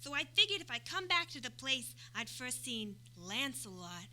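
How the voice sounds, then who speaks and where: A brassy, high-pitched flirt. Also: female voice, big pitch swings, moderate pace, pushed and bright, heavily acted. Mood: brash, bored. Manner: slangy, flirty, brash. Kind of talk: old-time radio comedy acting.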